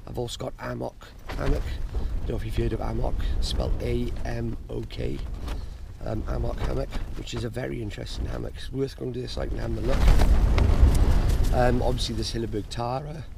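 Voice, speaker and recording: A man's voice: medium pitch, flat delivery, a little fast, gravelly. A man, chatting casually and rambling to an action camera inside a small tent. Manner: rambling, chatty, many fillers and restarts. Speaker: outdoor gear vlogger. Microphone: action camera held close. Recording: loud wind noise on a tent.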